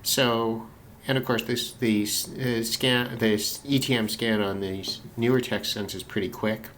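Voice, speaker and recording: A man's voice: medium pitch, steady tone, measured pace. A man giving casual, unscripted commentary as a radio hobbyist, thinking aloud in a tentative way. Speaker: shortwave radio hobbyist narrating a video. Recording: loud shortwave radio static and noise. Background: shortwave radio reception.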